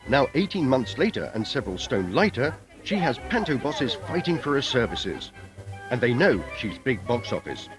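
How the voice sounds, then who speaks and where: A British man narrates a documentary with a wry, scripted delivery. His mid-pitched voice swings expressively, with music playing behind it on an old tape recording. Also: very animated, moderate pace. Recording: voice-over with music, from VHS tape.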